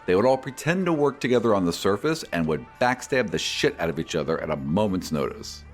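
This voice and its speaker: Male podcast host, fairly low and very expressive, reading mailbag questions and prepared explanations over soft background music. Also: fairly quick. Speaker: podcast host and game master answering fan questions. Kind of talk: reading fan questions and scripted answers.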